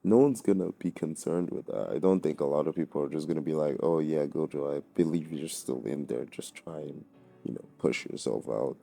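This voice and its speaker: A man with a notably low voice delivers a loose, slangy commentary rant. His tone is very dark and muffled-warm, with plenty of gravelly fry. He swears freely and sounds exasperated as he argues his opinion. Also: brisk, faint music behind. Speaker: anime commentary video creator.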